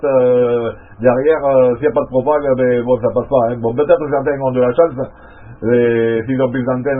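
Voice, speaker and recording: A man chatting casually as an amateur radio operator, rambling along with repeated tag words, his voice thin and narrow-band. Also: medium pitch. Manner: rambling, repeats filler tags. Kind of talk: casual radio contact chat.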